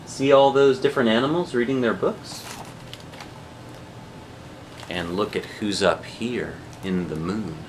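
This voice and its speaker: A man's voice: lowish voice, a little slow, pauses often, slight background noise, low-quality recording. A man reading a picture book aloud as a dad would, with dramatic rhythmic phrasing and casual asides to children.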